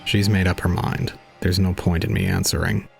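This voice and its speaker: A man playing a detached, resigned young student, narrating solemnly and calmly in an audio drama. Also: deep voice, normal speed, gravelly, over loud music. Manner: solemn, calm, unemotional. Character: detached, resigned young male student recounting his own ordeal. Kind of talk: scripted first-person audio drama narration.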